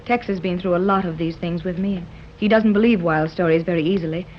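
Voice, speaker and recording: A woman's voice: fairly low pitch, fairly even intonation, fast, a little hiss or hum, close to an everyday voice. An actress as a hopeful, determined mother. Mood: earnest. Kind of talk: 1930s radio acting. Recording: dull old radio recording.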